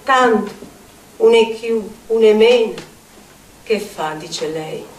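An actress playing a tormented, eccentric Neapolitan outcast haunted by spirits, in a theatrical monologue with a Neapolitan accent and incantatory, deliberate repetitions. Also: female voice, medium pitch, close to an everyday voice. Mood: sardonic musing. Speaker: stage actress. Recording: echoey theatre audio, distant, music behind.

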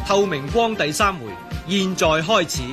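A male announcer reads drama credits in Hong Kong Cantonese. His delivery is declamatory and his pitch fairly high. Music plays clearly underneath. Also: normal speed.

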